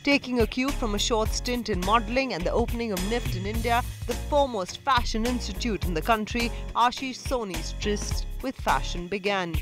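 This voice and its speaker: A woman's voice: fairly high pitch, normal speed, hardly any pauses. Woman narrating over music. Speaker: documentary narrator. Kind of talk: scripted narration. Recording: voice-over with clear music underneath.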